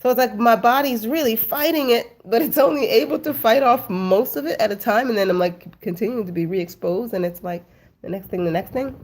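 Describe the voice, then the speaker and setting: A woman's voice: fairly high pitch, brisk, darkish tone. Sniffly woman chatting with her viewers. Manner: chatty, lots of "like" and "um", addresses viewers as family. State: stuffy, sniffly from a cold. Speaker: host. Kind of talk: casual talk to viewers.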